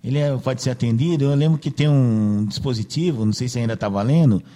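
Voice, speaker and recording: A man's voice: medium pitch, quick pace, warm tone. A man hosting a casual radio chat, tagging nearly every phrase with "né" to seek agreement. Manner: chatty, filler-heavy, seeks agreement with "né". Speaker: radio host.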